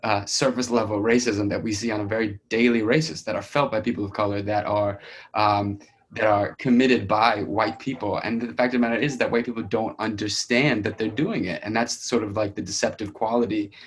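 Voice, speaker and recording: A man speaking as a playwright in a casual feedback discussion, in a halting, searching way. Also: fairly low pitch, steady tone. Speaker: playwright discussing his play.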